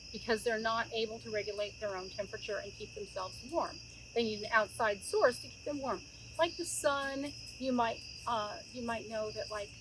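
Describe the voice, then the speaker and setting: A middle-aged woman hosting a children's story time, chatting informally to young listeners and addressing them directly. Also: a little high. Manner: conversational, addresses listeners with questions. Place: outdoors by a campfire in woods. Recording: outdoor recording with clear insect noise. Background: crickets and insects, outdoor ambience.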